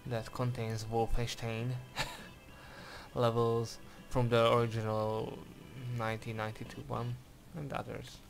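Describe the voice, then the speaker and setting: A man's voice: medium pitch, vocal fry, poor audio. A man from Hungary with a Hungarian accent, commenting hesitantly on game footage in careful English, with many fillers and restarts. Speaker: game reviewer. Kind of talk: gameplay commentary and review. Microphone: voice-over over game footage.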